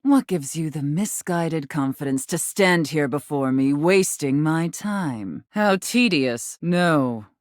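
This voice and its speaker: A woman voicing a haughty, contemptuous mistress in a video game, imperious and condescending, with drawn-out, teasing menace. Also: low-pitched, lively intonation, no room sound, studio quality. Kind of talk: theatrical scripted game dialogue.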